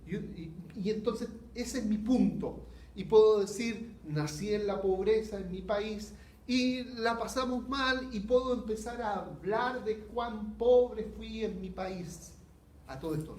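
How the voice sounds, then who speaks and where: A Chilean man preaching a sermon, earnest and unhurried, asking his listeners rhetorical questions. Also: high-pitched, a little mellow. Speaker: pastor. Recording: echoey hall.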